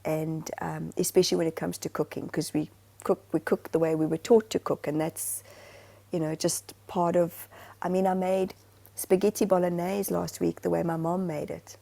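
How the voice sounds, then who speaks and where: A woman from Zimbabwe chatting casually on a podcast. Her voice is gravelly and mellow. She rambles in an animated way and restarts her sentences often. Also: lowish voice, normal speed. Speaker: podcast co-host. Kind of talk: casual chat.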